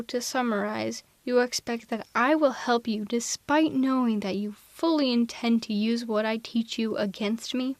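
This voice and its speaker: A woman playing a haughty, sardonic telepathic villain in an ASMR roleplay audio drama, theatrical and quick to justify herself. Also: a little high, no room sound, close to an everyday voice. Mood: incredulous, sardonic. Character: female telepathic villain, haughty, misanthropic, secretly soft-hearted. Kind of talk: ASMR roleplay audio drama, close one-sided dialogue.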